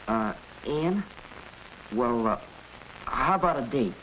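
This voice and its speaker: A man's voice: mid-range voice, expressive, slow pace, fairly bright tone. A young male actor playing an eager, nervous, hesitant teenage boy in a 1940s educational film. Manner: hesitant, stammering. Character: eager, nervous teenage boy facing his first date. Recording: muffled, noisy 1940s film soundtrack.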